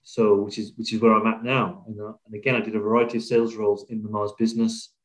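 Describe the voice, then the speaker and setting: A middle-aged man from Wales with a British accent, talking conversationally and reflectively as a podcast guest, with frequent pauses. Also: fairly low pitch, little pitch movement, rough recording. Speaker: podcast guest, business leader. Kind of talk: conversational interview, telling own career story.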